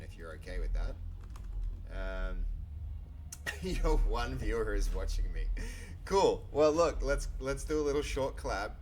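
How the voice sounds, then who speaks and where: A man's voice: on the low side, darkish tone. A man live-coding on a stream, chatting casually and rambling with lots of fillers, restarts and easy chuckles. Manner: easygoing, chuckles often.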